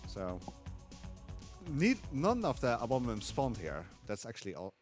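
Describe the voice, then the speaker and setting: A man calmly explaining tricks while playing a game live, with frequent fillers. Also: medium pitch, normal speed, faint music behind. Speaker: speedrunner. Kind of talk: live speedrun commentary.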